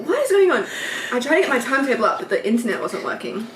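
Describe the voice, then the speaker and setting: A young adult woman with an Australian accent, giggly and animated, telling stories in casual chat with friends. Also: high voice, rapid-fire. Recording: roomy echo with background noise.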